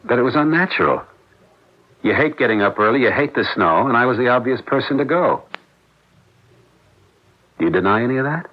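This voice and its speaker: An actor playing a suspicious husband who questions his wife in a measured, accusatory manner, in the style of 1970s radio drama. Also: medium pitch, animated, close to an everyday voice. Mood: accusing, controlled. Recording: dull lo-fi 1970s radio broadcast.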